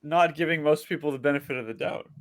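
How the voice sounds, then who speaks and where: A man speaking as a guest in a casual podcast chat, agreeable and quick to laugh. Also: a little high, dry recording.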